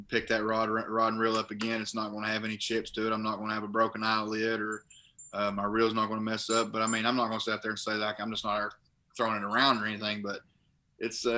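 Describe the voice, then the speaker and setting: Young male guest, bright tense voice, even monotone delivery, laptop video call from home. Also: lowish voice. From Kentucky. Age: young adult. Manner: rambling, restarts phrases often. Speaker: guest, college linebacker and bass angler. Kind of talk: casual interview over video call. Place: living room at home. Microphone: laptop webcam on video call.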